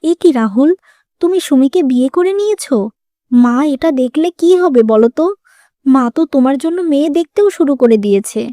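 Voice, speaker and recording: Cartoon role: worried but supportive sister-in-law played by a woman, high voice, soft tone, dry recording. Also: lively intonation, fairly quick, no room sound. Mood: alarmed. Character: concerned, helpful elder sister-in-law. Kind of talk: dramatic cartoon dialogue.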